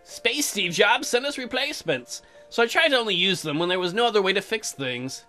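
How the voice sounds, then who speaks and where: A man acts out a young colonist wife from a visual novel game. The voice is high and girlish, animated and chirpy, turning testy easily. Music plays underneath. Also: a little fast. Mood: narrating, upbeat. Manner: high-pitched girlish put-on, narrating and bickering. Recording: music behind.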